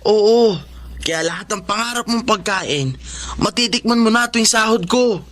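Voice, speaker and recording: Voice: medium pitch, normal speed, a bit bright, lightly acted. An actor playing a cheerful eldest son. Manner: cheerful, laughing often. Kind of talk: radio drama acting.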